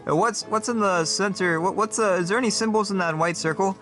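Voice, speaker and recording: A man playing a peppy, bombastic debate moderator in a comedy sketch, with an animated game-show announcer delivery. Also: sits high, fairly quick, faint music behind. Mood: upbeat, showy. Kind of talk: game-show style hosting.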